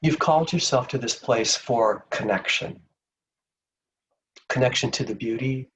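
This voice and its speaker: A man leading a guided meditation in a gentle, soothing, unhurried manner with long pauses between phrases. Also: medium pitch, distant mic, poor audio.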